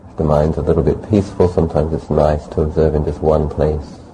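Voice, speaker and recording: A man teaching a guided meditation as a monk, speaking calmly in short, measured phrases with pauses. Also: rumbling low, rough recording. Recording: faint background noise.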